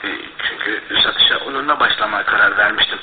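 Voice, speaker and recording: A male actor playing a paranoid, downtrodden suspect in a radio drama, agitated, halting and defensive. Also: fairly low pitch, natural voice. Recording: echoey, tinny, noisy old radio recording.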